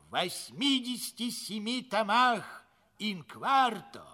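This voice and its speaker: An actor playing the presenter of a radio play, addressing listeners in a genial, mock-solemn, theatrical manner. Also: male voice, high-pitched, very animated, slow pace, pushed and bright, heavily acted. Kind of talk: theatrical scripted radio narration.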